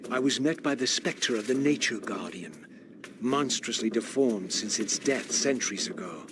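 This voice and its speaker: An actor playing a brooding undead wraith in a video game, narrating with formal, eloquent, theatrical diction and a solemn manner. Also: male voice, mid-range voice, animated, normal speed, background noise, natural voice. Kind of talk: dramatic scripted game narration.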